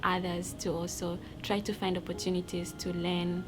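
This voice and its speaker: Female trainee with a Tanzanian accent, low and level delivery, music underneath. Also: level tone, normal speed. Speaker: research trainee. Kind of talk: promotional interview testimonial.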